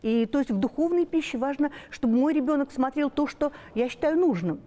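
Older woman, high-pitched, soft-edged tone, emphatic explanations, studio panel discussion. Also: lively intonation, brisk. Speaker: panel guest on a talk show. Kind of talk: opinionated panel discussion, unscripted.

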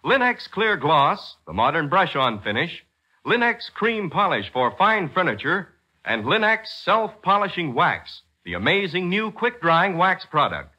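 A man's voice: fairly high pitch, very animated, a little slow, pushed and bright, slightly rough. An American man announcing in scripted 1940s radio style, with a dramatic, emphatic and polished delivery. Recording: 1940s radio broadcast with music.

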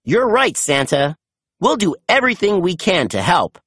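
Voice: medium pitch, big pitch swings, normal speed, a bit bright, slightly rough, no room sound, clean studio sound. A storybook narrator voicing a confident boy team leader who gives earnest, reassuring commands. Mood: commanding.

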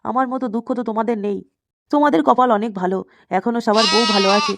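A self-pitying old village man put on by a woman in a cartoon comedy. He grumbles and repeats himself in a fairly high, hurried voice over faint noise. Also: close to an everyday voice. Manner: repetitive, grumbling. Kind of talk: comic cartoon dialogue.

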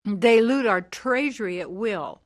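A woman's voice: medium pitch, lively intonation, unhurried, close to an everyday voice. Actress as a forthright, indignant woman. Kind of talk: radio drama acting.

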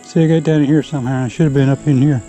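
A man's voice: mid-range voice, fairly even intonation, fast talker, mellow, soft background music. Easygoing man narrating a forest hike. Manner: easygoing, talking to camera while walking. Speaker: hiker vlogger. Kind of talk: casual hiking narration. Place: outdoors in a forest trail. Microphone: action camera carried while hiking.

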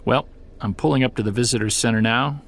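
An actor playing an earnest, wry Sasquatch investigator who records a conversational field journal for an audio drama. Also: male voice, medium pitch, fast talker, close to an everyday voice.

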